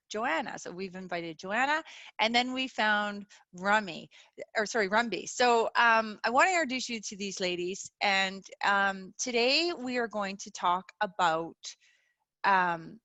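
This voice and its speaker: A middle-aged woman hosting a webinar over a video call, talking earnestly with many pauses and fillers. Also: medium pitch, sharp tone, dry recording. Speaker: webinar host. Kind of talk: casual chat.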